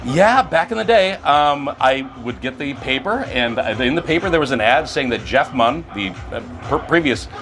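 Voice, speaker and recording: A man's voice: medium pitch, animated, normal speed, hardly any pauses, fairly bright tone. A middle-aged man, a stadium announcer, giving casual answers in an interview. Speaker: stadium public address announcer being interviewed. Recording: music playing behind the voice.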